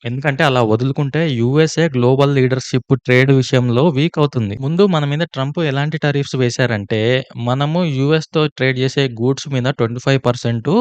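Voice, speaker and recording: A man with a Telugu accent gives explanatory news commentary in a smooth, clean voice. He moves along at a brisk pace and keeps it conversational, peppering his speech with English terms. The sound is dry, with no room echo. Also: mid-range voice. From India. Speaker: host of an explainer channel.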